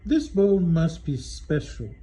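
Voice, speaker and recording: Kindly old grandfather, played by a man, storybook character, worried, slow halting delivery, mellow tone. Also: fairly high pitch, very animated, faint music behind.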